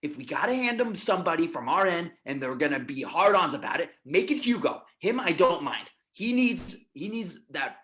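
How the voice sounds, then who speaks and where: A man's voice: on the high side, big pitch swings, normal speed, few pauses, sharp tone. A man playing a volatile, spoiled showbiz star, profane and demanding, in an amateur script read. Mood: scornful.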